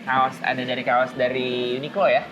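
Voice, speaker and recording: A man's voice: mid-range voice, flat delivery, moderate pace, fairly bright tone, lo-fi sound. A man co-hosting a podcast, agreeing often and chatting enthusiastically. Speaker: podcast co-host. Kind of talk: casual chat.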